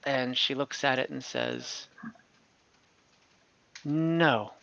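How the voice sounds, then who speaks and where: Bright-voiced male game master. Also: a little high, takes it slowly, gravelly, dry recording, lo-fi sound. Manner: relaxed, describing actions step by step with fillers. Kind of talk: narrating an online tabletop game.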